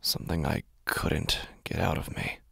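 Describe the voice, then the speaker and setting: A man with a gravelly, husky voice, narrating first-person horror in a brooding, deliberate, intense manner, close on the microphone. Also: extremely low pitch, steady tone, bright, forceful tone, no echo, in a whisper. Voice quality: gravelly, husky, breathy at times.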